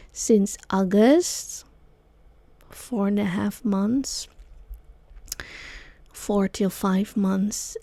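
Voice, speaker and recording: A Dutch woman with a Dutch accent, a non-native English speaker hosting her own solo podcast, speaking in slow, searching phrases with frequent fillers. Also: medium pitch, dark tone, dry recording. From Netherlands. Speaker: podcast host, sound bath guide. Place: small studio.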